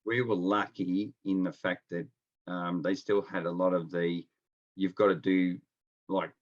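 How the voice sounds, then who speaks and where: Dull call audio, man, Australian accent, low pitch, laid-back, colloquial, casual storytelling as interview guest. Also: flat delivery, a little slow. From Melbourne, Australia. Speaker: interview guest, experienced nurse. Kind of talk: casual anecdotal chat. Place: at home, on a remote call.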